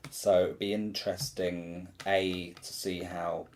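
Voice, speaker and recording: A man's voice: low-pitched, little pitch movement, takes it slowly, a little hiss or hum. A man, a performance artist, chatting casually in an interview, rambling and self-deprecating as he tells his stories.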